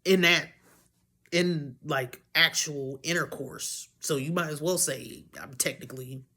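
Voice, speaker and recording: A young woman with a deep voice, talking candidly to camera in a rambling way, often losing her train of thought and correcting herself. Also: unhurried, pushed and bright. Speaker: vlogger. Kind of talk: candid personal talk to camera.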